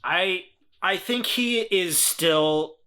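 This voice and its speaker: A man's voice: high voice, drawn-out words, bright, forceful tone. A man playing in a tabletop game, chatting casually and repeating words as he thinks aloud. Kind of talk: casual improvised game chat.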